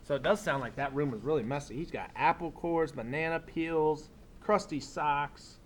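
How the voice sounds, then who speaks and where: A man teaching young children, reading a picture book aloud expressively and pausing for simple explanatory asides. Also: a little high, a bit bright, a little hiss or hum. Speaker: elementary school teacher.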